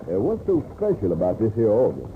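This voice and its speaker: A brash old-West gambler in a radio western. He drawls in folksy, ungrammatical American speech, cocky and grumbling, with pitch leaping around. The sound is a tinny, noisy vintage broadcast. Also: huge pitch swings, brisk. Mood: nosy, excited. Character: brash, grumbling frontier gambler and horse racer. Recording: noisy, narrow-band old radio recording.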